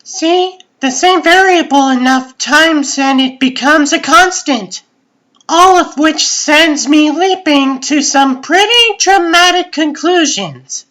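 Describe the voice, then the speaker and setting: A woman voicing a self-assured young scientist in a comic book dub, matter-of-fact, measured and analytical. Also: sits high, a bit bright. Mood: analytical, thoughtful.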